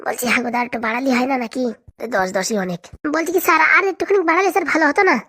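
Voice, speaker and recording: A woman's voice: sits high, sing-song, very fast, pushed and bright, full character voice. A woman voicing an eager, fawning young male henchman in a comedy cartoon, chattering boastfully with a rural Bengali accent.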